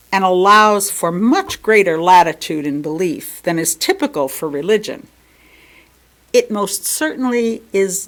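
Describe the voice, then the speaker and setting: An American woman reads a sermon aloud from a script as a lay speaker, phrasing it in a measured, deliberate way. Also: medium pitch.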